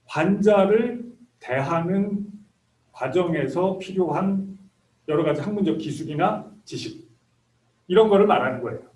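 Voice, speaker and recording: A man's voice: high voice, a little mellow. A man in his fifties from South Korea, a professor giving an introductory lecture in a conversational, explanatory way. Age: 50s. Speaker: medical school professor. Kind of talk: introductory lecture to graduate students. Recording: echoey room, distant microphone.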